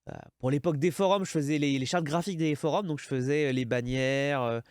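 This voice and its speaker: A man's voice: a little high, fast, a little mellow, clear, resonant tone, no echo, clean studio sound. A man in his thirties with a French accent, hosting a casual interview and rambling with many restarts. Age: 30s. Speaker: podcast host.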